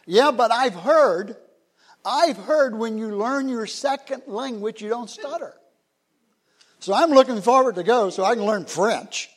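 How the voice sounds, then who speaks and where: A man voicing an eager young male missionary who has a stutter, in a lively, higher voice than his own. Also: a little slow. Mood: hopeful.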